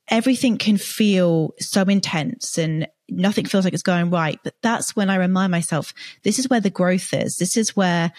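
Enthusiastic woman host, coach, lowish pitch, dry close mic. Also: darkish tone, dry recording. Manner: enthusiastic, affirming, talks in long runs. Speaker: podcast host and career coach. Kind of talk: interview chat.

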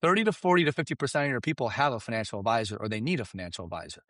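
A man whose first language is Farsi, giving emphatic, animated business coaching and often checking in with "right?" and "you understand?". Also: mid-range voice, very fast, dry recording, clean studio sound. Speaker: guest expert. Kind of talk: energetic business coaching talk.

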